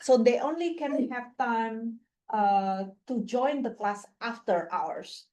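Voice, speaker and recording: A woman's voice: fairly high pitch, takes it slowly, mellow. A woman who does not speak English natively, giving an informal talk with slides, chatty and full of fillers and restarts, laughing often. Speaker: guest speaker, faculty member.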